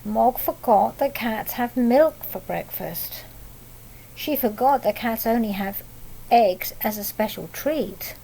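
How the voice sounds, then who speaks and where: A woman reading a picture book aloud to young children, pausing to explain things simply and ask them questions. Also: fairly high pitch, lively intonation, gravelly, mellow, a little hiss or hum, rough recording. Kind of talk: read-aloud picture book storytelling with asides.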